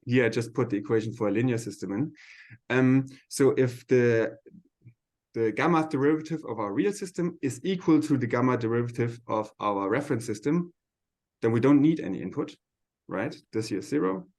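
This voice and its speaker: A man's voice: medium pitch, normal speed, a little mellow. Hesitant man giving an informal technical lecture. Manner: informal, hesitant, thinking aloud. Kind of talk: lecture-style technical explanation at a seminar.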